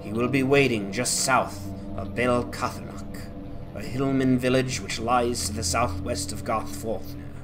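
A man's voice: medium pitch, steady tone, a little slow, over music, lightly acted. Man voicing a resolute, earnest fantasy heroine. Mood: resolute, grave. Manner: earnest, formal. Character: resolute woman, daughter of a lost leader. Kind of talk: fantasy game dramatization.

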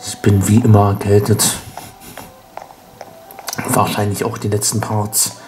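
A man's voice: fairly low pitch, flat delivery, a little slow, darkish tone, a little hiss or hum, low-quality recording. A man with a cold, sounding stuffy, rambling wryly as he hosts a casual gameplay commentary. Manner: rambling, self-mocking, wry. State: congested with a cold. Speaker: let's play host.

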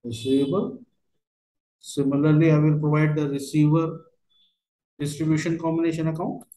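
A man working through a step-by-step software tutorial as a trainer, speaking in halting, fragmented phrases. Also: on the high side, flat delivery. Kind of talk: step-by-step screen tutorial narration. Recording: roomy, echoey voice recording.

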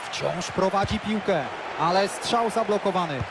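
A man doing play-by-play commentary for a football video game, lively and bursting out on goals. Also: high-pitched, a little slow, gravelly, background noise. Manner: excitable, erupts on goals. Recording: loud stadium crowd behind.

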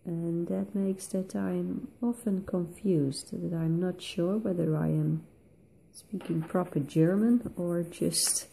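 Slow, gravelly-voiced Dutch woman. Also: fairly low pitch, steady tone, warm tone, a little hiss or hum, rough recording. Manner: slow, thoughtful, with many pauses and fillers. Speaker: art tutorial host. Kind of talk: relaxed voice-over while colouring.

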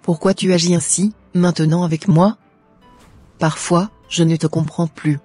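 A man voicing an earnest, obedient young woman with a measured manner in a scripted cartoon. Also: a little high, fairly even intonation, a little mellow, breathy edge, no echo, faint music behind. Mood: hurt, pleading. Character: earnest young woman, obedient yet devoted to her love.

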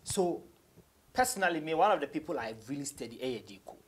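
A young Ghanaian man, a rapper being interviewed, talking casually in a husky voice and mixing English with Twi. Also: on the high side, animated, fast talker. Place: small radio studio office.

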